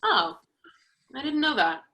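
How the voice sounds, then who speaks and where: A woman's voice: sits high, very animated, measured pace, bright, forceful tone, full character voice. A woman in a play reading playing a polite, naive young roommate, wondering and curious. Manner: short, wondering questions. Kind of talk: play reading, naturalistic conversational acting.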